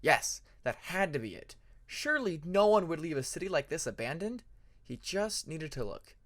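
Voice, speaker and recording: A man narrating a fantasy story like an audiobook, in an expressive, dramatic manner. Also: fairly high pitch, moderate pace. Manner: expressive, acts out dramatic passages. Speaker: fan fiction narrator.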